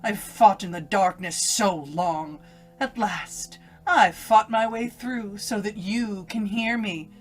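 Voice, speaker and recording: A woman's voice: medium pitch, takes it slowly, faint music behind. A woman playing an evil, domineering inner voice in an old-time radio melodrama, taunting and commanding with menace. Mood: fierce, demanding. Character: evil inner voice, a domineering female alter ego.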